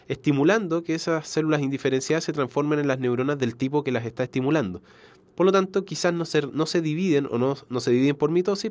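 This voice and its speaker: A man's voice: fairly high pitch, dry recording. A man with a congested, nasal voice from a cold, giving an explanatory biology lecture online, often correcting himself and checking in. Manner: explanatory, frequent self-corrections and check-in tags. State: has a cold. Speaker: biology teacher.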